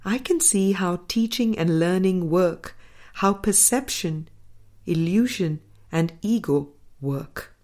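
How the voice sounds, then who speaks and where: Female audiobook reader for spiritual text; lower register, very unhurried, deliberate phrasing. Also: animated, a little mellow. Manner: measured, deliberate phrasing with pauses. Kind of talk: scripted spiritual book reading.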